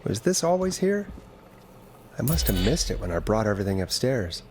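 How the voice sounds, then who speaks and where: A man's voice: fairly low pitch, very animated, normal speed, background noise. A man playing a wry, easily exasperated late-night radio DJ in a video game. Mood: puzzled.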